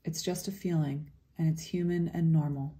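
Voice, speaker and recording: Woman, low pitch, very mellow warm tone, meditation guide, gentle, slow soothing delivery, long pauses. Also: steady tone.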